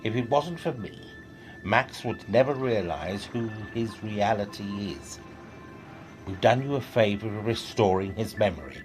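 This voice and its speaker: An actor playing a secretive, self-assured professor from another reality in an audio drama, with formal, theatrical delivery. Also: male voice, fairly low pitch, unhurried, music in the background, natural voice. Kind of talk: scripted audio drama acting.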